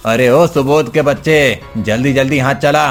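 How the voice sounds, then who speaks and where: A man's voice: mid-range voice, moderate pace, soft background music, close to an everyday voice. A man voicing a greedy, smug, abusive rich boss in a cartoon story, sneering and scolding. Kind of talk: cartoon villain acting.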